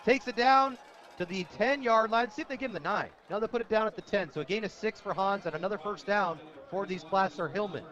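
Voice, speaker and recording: American male play-by-play caller, high voice, excitable, rapid delivery, slightly dull lo-fi broadcast sound. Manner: excitable on big plays. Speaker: play-by-play radio announcer. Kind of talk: live high school football commentary. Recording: dull, lo-fi outdoor radio broadcast.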